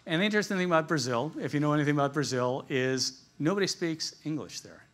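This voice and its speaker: A man's voice: on the high side, animated, quick pace. A man giving an informal business presentation at a conference, talking in a conversational, lightly jokey storytelling way. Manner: conversational, jokey storytelling, chuckles at his own asides.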